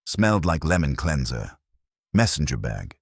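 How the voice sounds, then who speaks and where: A man's voice: very deep voice, very animated, normal speed, husky, no room sound, clean studio sound, full character voice. A man voicing a wisecracking, streetwise detective's assistant in an audiobook, glib and sardonic. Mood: wry, observant. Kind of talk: acted dialogue in a detective audiobook.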